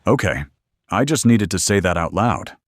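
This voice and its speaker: Male co-host for scripted horror. Low register, very expressive pitch, rattled disbelief, close dry recording. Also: moderate pace, no echo. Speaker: podcast co-host. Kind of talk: scripted reactions to a horror story.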